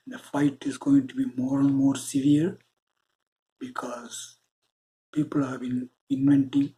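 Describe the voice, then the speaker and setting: Indian guy giving a slow earnest spiritual talk, mellow dark voice. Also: mid-range voice, poor audio. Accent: Indian English. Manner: slow, deliberate, earnest preaching with pauses. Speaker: spiritual channel host. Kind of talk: spiritual monologue.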